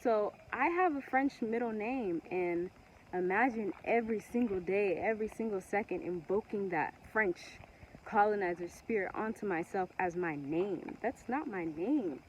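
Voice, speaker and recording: A woman from Togo whose first language is Ewe, talking earnestly and passionately to camera with many pauses and restarts. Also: medium pitch, expressive, slight background noise, low-quality recording. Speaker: vlogger. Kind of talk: casual monologue to camera.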